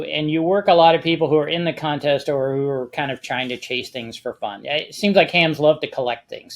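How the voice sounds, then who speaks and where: A man talking casually as a panelist, explaining things in a conversational way with practical advice. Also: fairly high pitch. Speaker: panelist on a ham radio discussion show.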